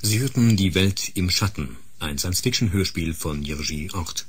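A man's voice: low voice, animated, moderate pace, breathy edge, no room sound. A man announcing scripted radio drama credits.

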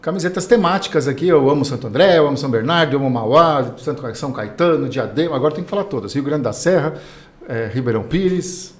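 A middle-aged male co-host talks casually. His pitch is a little above average for a man. The studio sounds clearly roomy. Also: expressive, quick pace. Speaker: podcast co-host. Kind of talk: casual podcast presenting. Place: small podcast studio. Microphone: close podcast microphone on boom arm.

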